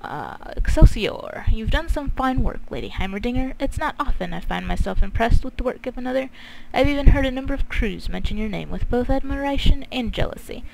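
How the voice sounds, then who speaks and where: A woman's voice: fairly high pitch, animated, a little fast, gravelly, hardly any pauses, background noise. A woman hosting a gaming video, commenting casually and reading quest text aloud, very close to the mic. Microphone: close mic, breathy pops.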